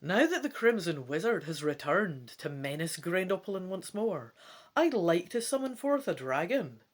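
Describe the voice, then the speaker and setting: A woman reads as an earnest young fantasy adventurer of royal birth. The delivery is emphatic and declamatory, with a lively, wide-moving pitch and a determined, easily indignant temper. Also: normal speed. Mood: determined, hopeful. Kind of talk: dramatic gamebook reading.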